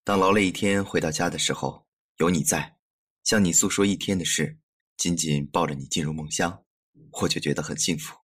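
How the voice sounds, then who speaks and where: A man playing a gentle, intimate young husband with an obsessive streak in an audio drama. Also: deep voice, steady tone, fairly quick, slightly rough. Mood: earnest, tender. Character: soft-spoken, obsessive young husband fixated on dolls. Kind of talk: Mandarin audio drama acting.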